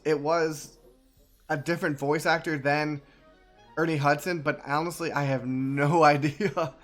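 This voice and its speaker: Higher-pitched man, casual game streamer. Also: fairly bright tone. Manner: halting, repetitive, conversational. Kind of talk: casual live gaming commentary.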